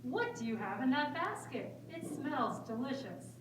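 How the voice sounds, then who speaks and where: A woman's voice: sits high, very animated, a little slow. A woman playing a sly, wheedling wolf in a children's puppet show. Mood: sly, coaxing. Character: sly, wheedling male wolf from a fairy tale. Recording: roomy echo, distant mic, faint noise.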